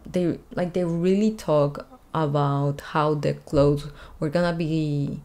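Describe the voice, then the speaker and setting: Slow-talking low-voiced woman vlogger. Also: steady tone, a little mellow. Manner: chatty, many fillers like "like" and "honestly". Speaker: fashion designer and YouTube host. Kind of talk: casual reaction commentary.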